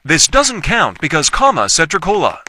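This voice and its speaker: Male gameplay commentator, animated intonation, short repetitive statements, noisy recording. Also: fairly high pitch, normal speed. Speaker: gaming video creator.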